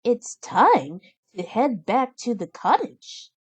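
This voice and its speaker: A woman acts out a sweet young storybook princess, gentle and pleased with the world. She speaks at an unhurried, slow pace, in a cheerful manner. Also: a little high, very animated, breathy edge, dry recording, full character voice. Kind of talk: children's storybook read-aloud.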